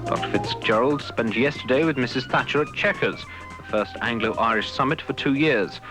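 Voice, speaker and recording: A man's voice: medium pitch, moderate pace, music in the background. Apologetic male radio announcer. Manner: apologetic, informal. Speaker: radio presenter.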